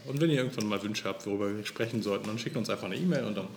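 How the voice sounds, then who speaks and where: A man's voice: fairly low pitch, very fast, creaky, roomy sound. A man in his late thirties, a sexton co-hosting a church podcast, chatting casually, laughing easily and restarting sentences. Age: 30s. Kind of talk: casual chat.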